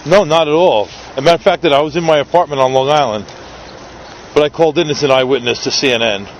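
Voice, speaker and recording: A man's voice: on the high side, fast talker, dull audio, a little hiss or hum. A man with a New York accent, chatting casually and joking as he films a stranger in a city park. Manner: chatty, joking, curious questioner. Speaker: street videographer. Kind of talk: casual street conversation.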